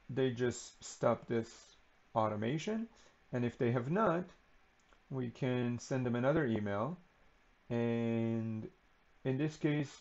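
A man talks through a software setup at a slow, easy pace, often pausing on "um" and "uh." His voice has a gravelly, creaky edge. The recording sounds a little muffled and lo-fi. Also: medium pitch. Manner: relaxed, unhurried, many ums and uhs. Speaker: software tutorial presenter. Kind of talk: unscripted screen-recording walkthrough.

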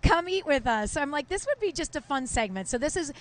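A woman's voice: high voice, animated, quick pace. A middle-aged woman working as a TV field reporter, chatting effusively into a handheld mic while interviewing live on location. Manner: gushing, effusive. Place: outdoors at a fire station. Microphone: handheld reporter microphone.

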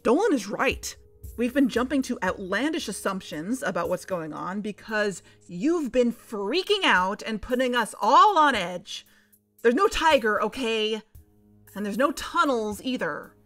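A woman plays an exasperated female investigator in a podcast drama. Her voice sits a little high, and she is blunt, confrontational and emphatic. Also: sing-song, measured pace, a bit bright, soft background music. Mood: frustrated. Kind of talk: audio fiction podcast acting.

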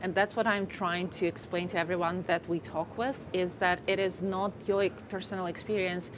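A woman with a Ukrainian accent, a guest in a tech interview, explaining things earnestly in steady lists. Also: on the low side, moderate pace, background noise. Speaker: guest, company AI lead. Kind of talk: interview answers. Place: studio set on a stock exchange floor. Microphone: lapel mic.

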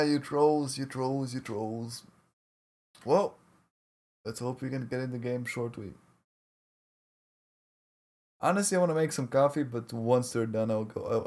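Streamer: man from Latvia, husky voice, playful and jokey with his chat. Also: medium pitch, a little mellow. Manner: jokey, laughs often, mock-protesting at chat teasing. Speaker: livestream caster. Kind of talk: casual live-stream chat with viewers.

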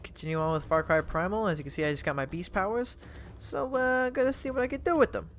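A man hosting a let's play, chatting and joking in an animated way over his gameplay. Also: sits high, fast talker, slight background noise. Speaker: gaming channel host.

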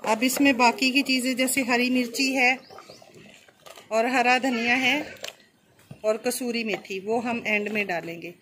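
A fairly high-voiced woman, talking a home cook through a recipe step by step. Her delivery is calm and instructive, with faint background noise behind her. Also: measured pace, long pauses. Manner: calm, explains each step while cooking. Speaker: cooking channel host.

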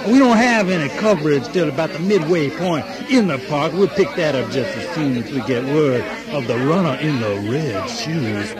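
A man acts out a businesslike news anchor in a radio drama. His voice is a little high. He relays live coverage over clear crowd noise. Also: expressive, normal speed, hardly any pauses, lightly acted.

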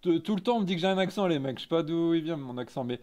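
A man's voice: fairly high pitch, animated, very fast, a little mellow. A man in his thirties with an eastern French accent, chatting casually and candidly on a live stream in informal slang. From Lorraine, France. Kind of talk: casual chat, reading and answering live chat. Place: small indoor photo studio office.

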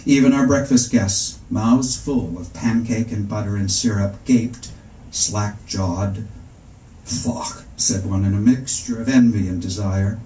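A middle-aged man giving a live literary reading, with a wry, arch and measured storytelling manner. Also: fairly low pitch, mellow, slight background noise. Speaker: author reading at a book event. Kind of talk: live literary reading of first-person comic prose. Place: indoor bookstore reading before a seated audience. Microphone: stand microphone, recorded from the audience seats. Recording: echoey room, public reading in a bookstore.

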